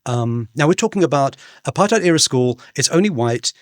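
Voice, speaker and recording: A man in his fifties, raised in Belgium, talks as an interview guest and spins long personal stories. His pitch sits in the middle range and jumps up for emphasis, and he often restarts his sentences. The room sounds dry and close. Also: lively intonation, brisk, no echo. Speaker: guest, culture author. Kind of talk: conversational interview storytelling.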